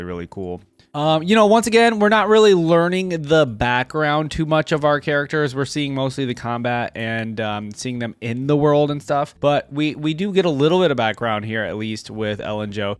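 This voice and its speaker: Animated man doing casual reaction commentary. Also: fairly low pitch, dry recording. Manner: halting, informal, enthusiastic about what he watches. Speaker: reaction video host. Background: faint music from the video he watches.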